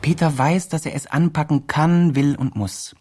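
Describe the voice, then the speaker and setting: A man's voice: fairly high pitch, fairly even intonation, normal speed, a little mellow, lightly acted. An actor playing an earnest, patronising male blogger who speaks in encouraging therapy-speak, in a radio drama. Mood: earnest, reflective. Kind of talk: radio drama, dialogue and reflective narration.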